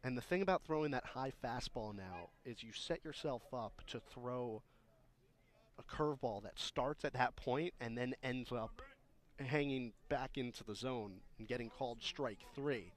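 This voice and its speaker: A man's voice: mid-range voice, unhurried. A man giving conversational, analytical baseball commentary for a radio broadcast, explaining the game as a color commentator.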